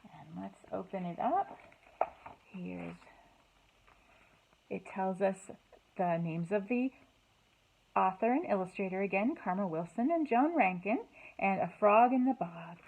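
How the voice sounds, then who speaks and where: A woman hosting a children's storytime, speaking in an expressive, sing-song way to young listeners, in slightly dull, lo-fi sound. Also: mid-range voice, measured pace, creaky, pauses often. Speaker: library storytime host. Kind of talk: children's storytime, read-aloud and singalong.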